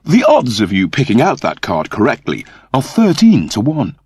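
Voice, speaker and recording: Guy doing a fussy robot servant in a sci-fi audiobook, precise n earnest, pitch all over, husky, very dry sound. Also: huge pitch swings, normal speed, darkish tone, dry recording. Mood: earnest, explanatory. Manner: precise, formal, explanatory. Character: fussy, earnest male service android.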